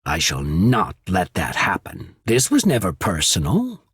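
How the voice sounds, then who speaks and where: An actor playing a calm, calculating statesman and spymaster in a video game, with soft, formal, deliberate speech and cool ambition. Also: sits low, breathy edge, no room sound, studio quality, full character voice. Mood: cold, resolute. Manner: measured, formal, deliberate diction. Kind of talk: scripted game dialogue.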